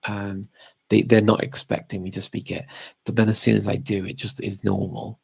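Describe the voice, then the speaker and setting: A man's voice: on the low side, flat delivery, moderate pace, vocal fry, husky, no room sound. A man chatting casually and unscripted as he answers audience questions as a language expert, often hesitating and correcting himself as he thinks aloud.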